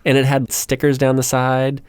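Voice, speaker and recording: A man's voice: medium pitch, moderate pace, smooth, no room sound. A man co-hosting a casual podcast, playfully quizzing his co-host with trivia questions. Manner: lively, playful quizmaster.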